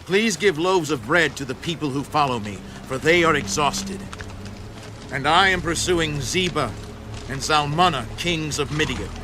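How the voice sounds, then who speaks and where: A male actor as a vengeful commander of ancient Israel, stern and declamatory. His forceful delivery suits scripture drama. Loud music runs behind him. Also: fairly high pitch, animated, normal speed, lightly acted. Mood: urgent.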